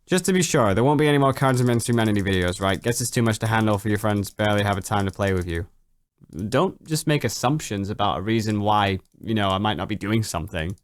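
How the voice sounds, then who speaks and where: A young man chatting casually on a late-night stream, answering viewer questions, swearing freely and jumping up in pitch when excited. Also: fast talker. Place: home at night.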